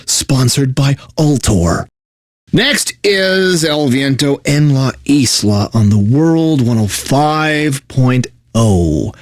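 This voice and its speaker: A man's voice: a little high, no room sound, clean studio sound, full character voice. An actor playing a bitter, sardonic video game radio DJ who resents his corporate bosses, mixing station patter with theatrical despair.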